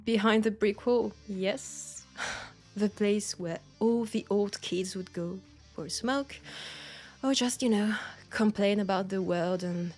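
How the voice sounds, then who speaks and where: A woman with a French accent acting a nostalgic, playful old school friend in an intimate, casual audio roleplay, with an unhurried, teasing manner. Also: medium pitch, soft background music. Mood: nostalgic, wistful. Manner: unhurried, teasing, breaking into soft laughs.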